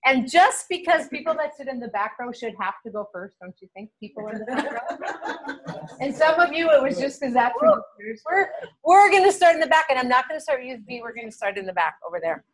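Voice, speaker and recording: A middle-aged woman leading a seminary class, friendly and animated, speaking to a camera on the table in a large classroom. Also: high-pitched, a little fast, rough recording. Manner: friendly, animated, gestures with hands. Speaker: seminary instructor. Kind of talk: classroom teaching and prayer. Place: large classroom with drop ceiling. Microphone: camera on the table, below the speaker.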